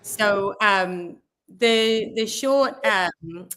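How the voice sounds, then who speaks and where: A woman from England, a guest actress, answering thoughtfully in a casual interview with many pauses. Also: medium pitch, fairly bright tone, smooth.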